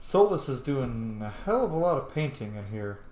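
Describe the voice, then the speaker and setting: A man's voice: mid-range voice, sing-song, moderate pace, a little mellow, slight background noise. A man giving casual commentary as he plays a game, cheeky, blunt and sweary. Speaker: gamer, let's player. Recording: echoey room.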